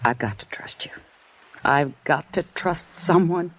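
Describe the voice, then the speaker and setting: A woman's voice: deep voice, measured pace, breathy edge. An actress playing a nervous young waitress in an old radio drama, stammering and hesitant as she hides what she knows. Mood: pleading. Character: nervous young woman diner waitress hiding secrets. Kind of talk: 1950s radio drama acting.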